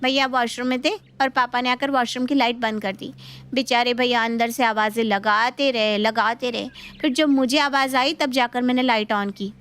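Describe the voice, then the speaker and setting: A woman voicing a playful, outspoken young daughter in an animated moral story, with a chatty, teasing manner. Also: fairly high pitch, moderate pace, clear, resonant tone, lightly acted. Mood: gleeful.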